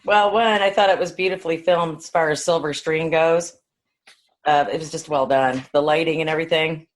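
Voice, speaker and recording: Lower-voiced woman in casual film chat. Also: steady tone, a little fast. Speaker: podcast co-host.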